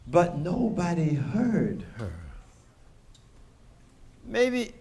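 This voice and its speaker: A man narrating a children's picture book expressively and deliberately, with dramatic pauses. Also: on the high side, lively intonation. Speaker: storybook reader.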